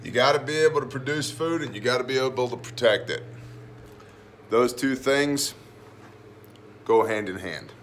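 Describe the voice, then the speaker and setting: A man's voice: mid-range voice, moderate pace, pauses often, slight background noise. A man hosting an informal video class, talking in a blunt, slangy, emphatic way with a slightly phlegmy voice. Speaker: host of prepper video class. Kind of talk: informal lesson with whiteboard, to camera.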